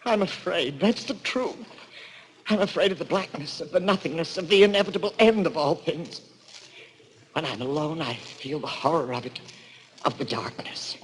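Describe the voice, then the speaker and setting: High-voiced, feverish madman played by a man. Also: expressive, in a large hall, slight background noise, close to an everyday voice, poor audio. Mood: haunted, afraid. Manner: feverish, grandiose, halting. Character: deranged, tormented man who believes he is Shiva, god of destruction. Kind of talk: 1940s radio drama acting. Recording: vintage 1940s radio recording.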